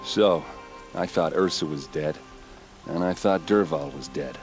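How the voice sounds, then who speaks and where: A man playing a gruff, stubborn warrior in a video game, with a blunt, informal way of talking. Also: rumbling low, unhurried, faint music behind. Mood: bitter, determined. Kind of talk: video game cutscene acting.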